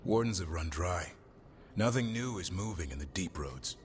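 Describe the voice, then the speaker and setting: An actor playing a grim, weary warden soldier who speaks bluntly and tersely in a fantasy game. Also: male voice, sits low, expressive, moderate pace, a little hiss or hum. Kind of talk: dramatic fantasy game voice acting.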